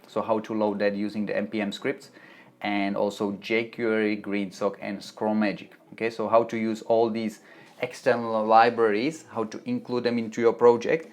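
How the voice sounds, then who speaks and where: A man with a Czech accent and a somewhat low voice answers live chat on his tutorial stream. He reads viewers' questions aloud and talks through them in a loose, chatty way, often circling back to restart a sentence. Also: flat delivery, moderate pace. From Czech Republic. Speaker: web development tutorial host. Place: home office, Melbourne.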